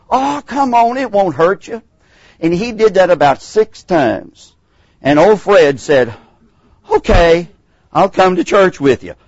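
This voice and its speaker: A middle-aged Texan man teaches a Bible class, his voice swooping up and down as he acts out the people he quotes. His pitch sits a bit high for a man. The sound is dry and slightly muffled. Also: darkish tone, dry recording. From Texas.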